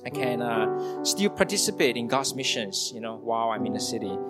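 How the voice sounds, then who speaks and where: A man's voice: mid-range voice, expressive, brisk. Man giving testimony over guitar. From Singapore. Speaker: church member giving testimony. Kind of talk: personal testimony to a congregation. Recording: guitar music playing behind speech. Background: guitar music.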